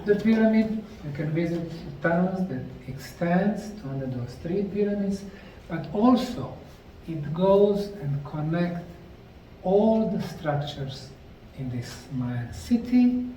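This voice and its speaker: A middle-aged man slowly narrating a documentary on location at ancient ruins, explaining the sites like a tour guide. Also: sits high, animated, mellow, slight background noise. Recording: roomy, distant mic with faint outdoor ambience.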